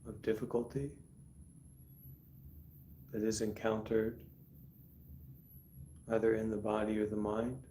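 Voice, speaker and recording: Slow, mellow man guiding meditation. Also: fairly low pitch, a little hiss or hum. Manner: calm, deliberate, instructing in short phrases with long pauses. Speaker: meditation teacher. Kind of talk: guided meditation.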